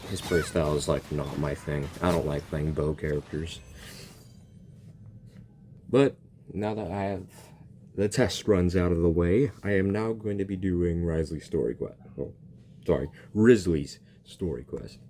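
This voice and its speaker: A man's voice: deep voice, unhurried, long pauses, warm tone, slight background noise. A man giving casual, joking reaction commentary as he plays a game, with slangy exclamations. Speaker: gaming streamer.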